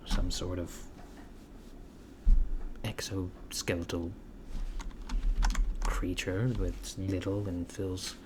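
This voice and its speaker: A man playing a mild-mannered, curious alien interviewer in softspoken ASMR roleplay, asking hesitant, politely puzzled, clinical questions. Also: sits low, little pitch movement, extremely slow, long pauses. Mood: curious, musing. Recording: clear background noise, keyboard typing. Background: computer keyboard typing.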